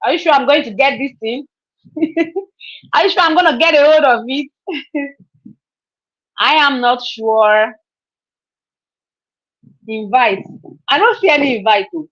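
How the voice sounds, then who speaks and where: Brief: woman, 30s, chatty live stream host, higher voice, dull lo-fi audio. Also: a little slow, pauses often, a bit bright. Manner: chatty, repeats herself, laughs often. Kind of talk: casual live stream chat.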